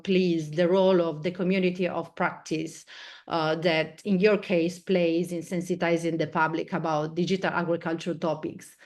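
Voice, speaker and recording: A woman moderating an online panel, hesitant with many fillers, losing her voice. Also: on the low side. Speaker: webinar moderator.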